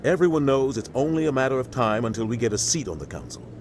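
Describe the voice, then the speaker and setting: An actor playing a middle-aged veteran military captain in a video game, earnest, weighty and plain-spoken. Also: male voice, medium pitch, sing-song, fast talker, natural voice. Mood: explaining. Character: grave veteran military captain, male, protective and embittered. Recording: faint music behind the voice.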